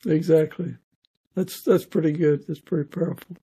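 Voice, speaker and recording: A man with a fairly high, husky voice hosts an informal faith podcast. His tone is mellow with some creak, and he speaks haltingly and humbly. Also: fairly even intonation. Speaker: podcast host. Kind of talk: informal interview hosting and spoken prayer.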